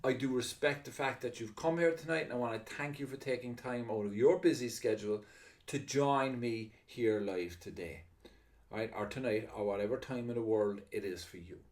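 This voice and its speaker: A middle-aged Irish man delivers a live online sales masterclass, speaking fast and with eager, upbeat energy. His voice sits at an ordinary middle pitch, and his talk comes through a computer mic on a video call. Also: a little mellow. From Ireland. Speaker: webinar presenter.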